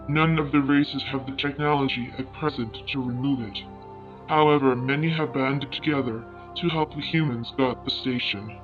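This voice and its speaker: A thin, phone-like transmission over music carries the voice of a formal alien chronicler played by a man. He reports galactic affairs in measured, declarative phrases at a medium pitch, with a hopeful outlook suited to a sci-fi audio story. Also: lightly acted. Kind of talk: scripted epilogue narration in sci-fi audio fiction.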